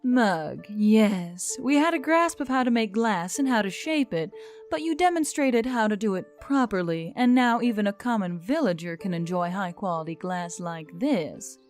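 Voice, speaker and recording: A woman voicing a gentle, earnest otherworldly woman in audiobook dialogue, reassuring and measured in formal phrasing. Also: medium pitch, animated, soft background music, natural voice. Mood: earnest, explaining.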